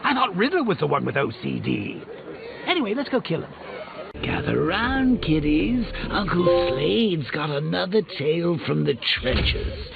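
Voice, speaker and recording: An actor voicing a manic, mocking male clown villain in a video game, with a gravelly, sing-song delivery. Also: a little high, very animated, a little slow, full character voice. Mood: taunting. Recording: tinny phone-like sound with loud music.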